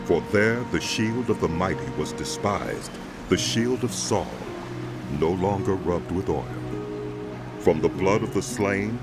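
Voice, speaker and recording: A man narrating dramatized scripture in a solemn, measured way, phrase by phrase, over music. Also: deep voice. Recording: loud music bed under voice.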